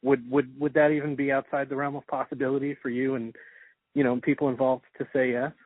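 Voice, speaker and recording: A man interviewing casually, asking his questions in a halting, hesitant way over a call. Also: medium pitch, level tone, dry recording. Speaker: interviewer, podcast host. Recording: tinny narrowband phone-like sound.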